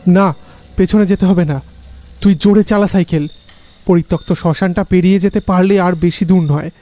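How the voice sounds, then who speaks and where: A man's voice: sits high, fairly even intonation, normal speed, dark tone, close to an everyday voice. A man playing a nervous young village man in an audio horror story, speaking with urgent unease. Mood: uneasy, urgent. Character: nervous young village man, frightened friend on a night ride.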